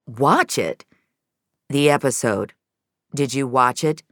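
A woman's voice: rumbling low, slow, fairly bright tone, dry recording, heavily acted. Woman doing a dry, stern male agency boss. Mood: accusing. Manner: dry, clipped, grave.

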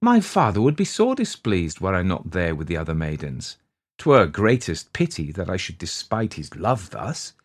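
A man voicing a young maiden in love, questioning and easily startled, in expressive archaic storybook speech. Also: low voice, normal speed, husky, full character voice. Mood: dismayed.

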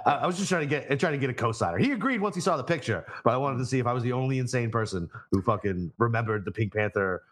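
A young man hosting a podcast, chatting casually in an animated way and swearing freely. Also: very fast, hardly any pauses. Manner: animated, swearing, jumps up in pitch. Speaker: podcast host.

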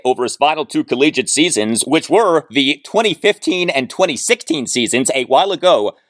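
A man hosting a sports podcast solo, speaking in emphatic, deliberate phrases with heavily stressed words. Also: sits high, fairly bright tone, dry recording, studio quality. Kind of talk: sports commentary monologue with read-out listener mail and ad reads.

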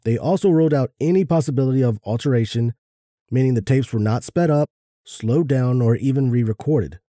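A man's voice: mid-range voice, brisk, dark tone, dry recording, studio quality. A man co-hosting a casual chat and reading out research. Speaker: podcast co-host.

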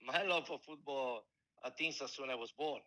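A man in his forties with a strong Italian accent talks about his coaching work, often stopping and restarting mid-sentence. His voice is bright and forward, at an average pitch. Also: poor audio. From Italy. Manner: halting, many restarts and fillers. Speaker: football coach and scout. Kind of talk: casual interview answers.

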